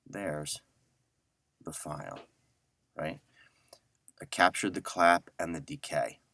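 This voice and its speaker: A middle-aged man giving a casual audio software tutorial, talking informally and thinking aloud as he works. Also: very deep voice, lively intonation, slow pace, vocal fry, no room sound. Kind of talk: casual screen-share tutorial.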